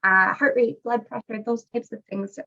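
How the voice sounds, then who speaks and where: Gravelly woman presenting over a video call. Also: fairly high pitch, fairly even intonation, normal speed, bright, forceful tone. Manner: friendly, conversational, with fillers. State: recovering from a cold. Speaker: guest presenter, parent educator. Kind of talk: informal webinar presentation. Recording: muffled lo-fi video call audio.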